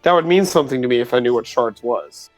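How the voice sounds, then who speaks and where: A man's voice: mid-range voice, fast talker, no echo, faint music behind, rough recording. A man playing in a tabletop game, chatting casually and improvising his character's lines.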